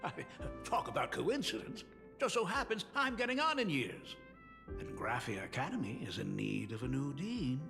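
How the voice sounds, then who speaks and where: An actor playing a doting, joking older father in a video game, with a gravelly, lively voice. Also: medium pitch, normal speed, long reverb tail, music in the background. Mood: teasing.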